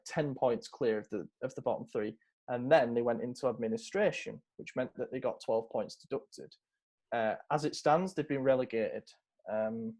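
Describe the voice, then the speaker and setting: A man's voice: mid-range voice, a little mellow. Chatty man. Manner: chatty, rambling with many fillers. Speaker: podcast host. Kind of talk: casual football podcast chat.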